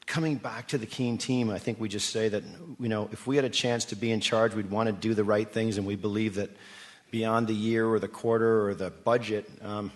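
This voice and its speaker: Low-voiced man, enthusiastic interview guest. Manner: enthusiastic, persuasive, poses rhetorical questions to listeners. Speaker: company executive, interview guest. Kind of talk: live stage radio interview, conversational storytelling.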